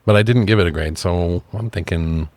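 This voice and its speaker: A man's voice: low-pitched, moderate pace, a little mellow, dry recording. A man co-hosting a casual film review chat, talking informally and swearing freely. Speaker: podcast co-host reviewing films.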